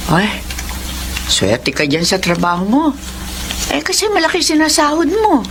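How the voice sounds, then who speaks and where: A woman acting a grateful, curious middle-aged mother in a radio drama, motherly and expressive. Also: high-pitched, a little slow, slight background noise, exaggerated voice. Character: grateful, curious poor mother of grown daughter.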